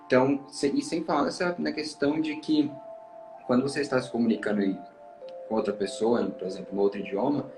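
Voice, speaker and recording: A man's voice: medium pitch, steady tone, fairly quick, music in the background. A Brazilian man, an English teacher and traveler, encouragingly explaining language tips in casual conversation. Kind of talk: casual conversation giving advice. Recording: roomy echo.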